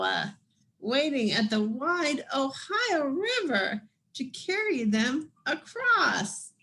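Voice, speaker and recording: A woman reading a children's picture book aloud as scripted narration, in deliberate, measured phrases with dramatic pauses. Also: sits high. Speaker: storybook reader.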